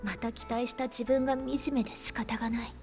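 A high, youthful voice of a timid young woman in love acted by a woman for a radio drama. She is wistful and introspective, speaking her emotions as narration and dialogue, with music beneath her. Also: steady tone, brisk, lightly acted. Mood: hurt, wistful.

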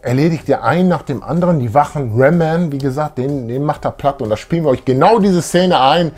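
A man's voice: fairly high pitch, fairly quick, a little mellow. A man in his thirties chatting casually and enthusiastically as co-host of a retro fan show. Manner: enthusiastic, colloquial. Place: small indoor room full of collectibles.

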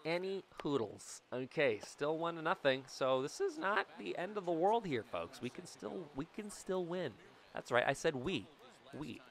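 A man in his thirties giving jokey, excitable commentary over a baseball video game, recorded on a boom mic in a small room at home. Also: moderate pace. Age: 30s. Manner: excitable, jokey, bursts into loud yells. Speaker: gaming YouTuber. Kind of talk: gameplay commentary. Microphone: studio mic on boom, webcam framing.

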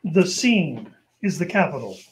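A man's voice: fairly high pitch, very animated, a little slow, low-quality recording. A man narrating a scripted old-time radio show, setting the scenes.

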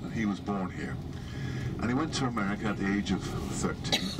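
A man giving sightseeing commentary as a tour guide, explaining in a measured, emphatic way with pauses. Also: fairly low pitch, animated. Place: inside a moving tour bus. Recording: loud vehicle noise throughout. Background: engine and road noise.

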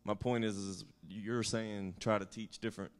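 A man's voice: fairly low pitch, flat delivery, normal speed. A man in the audience at a conference workshop, asking a question in a hotel conference room.

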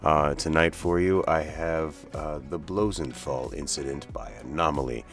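A man's voice: a bass voice, steady tone, moderate pace, fairly bright tone, faint music behind. A middle-aged man hosting a reaction show, talking casually and informally to his viewers.

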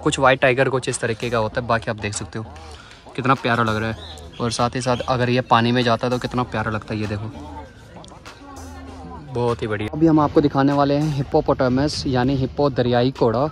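A man's voice: medium pitch, level tone, fairly quick, faint music behind. A man vlogging a casual walking tour of a zoo, chatty and enthusiastic, often exclaiming, recorded outdoors on a handheld camera. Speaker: travel vlogger.

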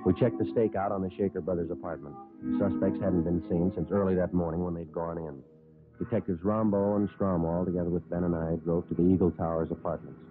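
A man's voice: low-pitched, fairly even intonation, a little fast, narrow, tinny audio, over music, lightly acted. A man acting a terse police detective in a 1950s radio drama, clipped and matter-of-fact. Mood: terse, businesslike. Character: terse, businesslike male police detective sergeant. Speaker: radio drama actor, lead and narrator. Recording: scratchy, noisy old radio recording.

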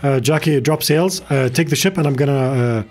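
A man's voice: mid-range voice, moderate pace, a little mellow. Arabic-speaking man streaming, music behind. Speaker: game streamer. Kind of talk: casual gaming commentary. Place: small indoor room, streaming setup. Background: game music.

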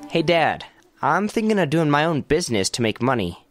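A man's voice: on the high side, sing-song, moderate pace, loud music behind the voice. A man acting as an earnest, hesitant youth looking for work in a family radio comedy.

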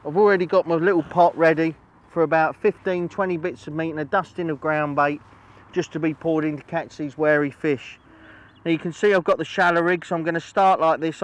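A middle-aged man presents a chatty, instructional fishing demonstration, explaining as he goes and often repeating phrases. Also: a little high. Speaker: angling presenter. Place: outdoors on a lakeside bank.

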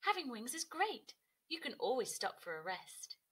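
A woman's voice: on the high side, sing-song, normal speed, fairly bright tone, breathy edge, exaggerated voice. A woman voicing a friendly, encouraging ladybird in a children's storybook, upbeat and reassuring.

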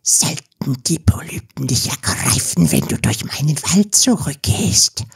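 A woman playing a sinister, scheming sea witch in a fairy-tale audiobook, with a hoarse, breathy whisper and slow, ominous delivery. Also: very deep voice, sing-song, heavily acted. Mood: gloating, menacing.

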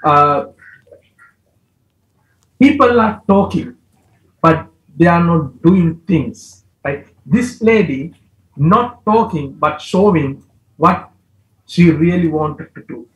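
A man's voice: fairly high pitch, animated, very slow, long pauses, dull audio. A film director in his forties answering festival questions over a video call from a home study, speaking through a headset and searching for English words with many restarts. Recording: roomy video-call audio.

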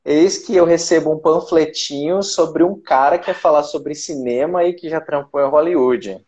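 Brazilian voice actor guy, 30s, laid back, repeats stuff, bit higher voice, earphone mic on a call. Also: normal speed, lo-fi sound. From Brazil, Campinas. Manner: relaxed, explains with repetition and fillers like "cara". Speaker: guest, voice actor. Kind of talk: casual interview chat. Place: small room at home. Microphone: video call with earphone mic.

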